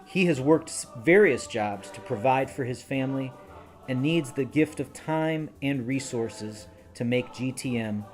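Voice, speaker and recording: A man reading scripted promotional narration in a measured, appealing tone. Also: medium pitch. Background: soft music.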